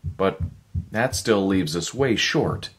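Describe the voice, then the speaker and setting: A man's voice: low voice, animated, slow pace, voice pushed up. A man reads as a frustrated druggist caught in a sci-fi audio story. He is a strained male everyman, given to mutters and exclamations. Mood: worried. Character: frustrated male druggist Dave Miller, weary then frantic.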